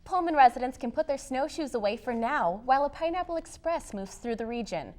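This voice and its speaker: Higher-pitched young woman reading scripted news. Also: expressive, a little fast, darkish tone. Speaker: student TV news reporter and anchor.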